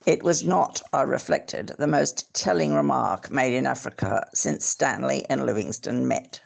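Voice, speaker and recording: An amateur reader narrating a literary memoir expressively, with theatrical, deliberate phrasing. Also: medium pitch, gravelly. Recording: faint background noise, clicks.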